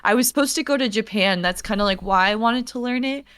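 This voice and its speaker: A woman's voice: on the high side, fast, a bit bright, clear, resonant tone. A young woman who streams, a guest in a casual podcast chat, bubbly and saying "like" constantly. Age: young adult. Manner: bubbly, laughs often, heavy use of "like".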